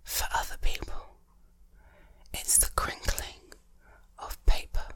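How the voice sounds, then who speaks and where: A woman whispering in a breathy, deliberate way, speaking intimately to the listener as an ASMR host, in short phrases with pauses. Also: a bit bright.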